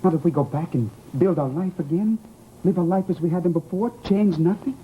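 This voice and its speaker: An actor in an old-time radio horror drama playing an unhinged, softly insistent grieving widower, halting, coaxing and pleading. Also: male voice, high voice, phone-quality sound, a little hiss or hum, natural voice. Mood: fervent, hushed.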